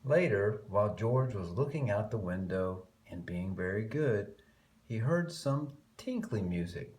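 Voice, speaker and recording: A man reading a picture book aloud to young children in an expressive way, stretching key words and adding chatty, murmured asides. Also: fairly low pitch, unhurried.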